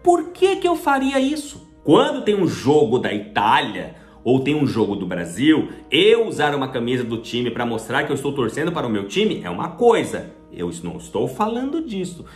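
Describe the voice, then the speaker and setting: A man's voice: mid-range voice, huge pitch swings, normal speed, reverberant, faint music behind. A Brazilian man hosting his channel, explaining things chattily and often asking rhetorical questions. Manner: chatty, asks rhetorical questions, acts out little dialogues. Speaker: channel host. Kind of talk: explaining to the camera.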